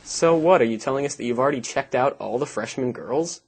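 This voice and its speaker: A young man playing a sardonic high school student who narrates an amateur anime dub in a wry, deadpan way. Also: brisk, husky. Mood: skeptical. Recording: music behind the voice.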